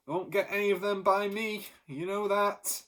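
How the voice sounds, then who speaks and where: A man voicing a middle-aged baker who boasts and shares secrets in a hushed storybook voice. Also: high-pitched, steady tone, normal speed, pitched above the performer's own voice. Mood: boastful. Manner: boastful, then hushed and confidential.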